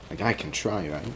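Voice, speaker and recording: A man's voice: fairly low pitch, gravelly. A man performing a romantic audio roleplay, teasing and laid-back, laughing often as he talks to a friend. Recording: constant fireworks ambience noise. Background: fireworks and outdoor ambience.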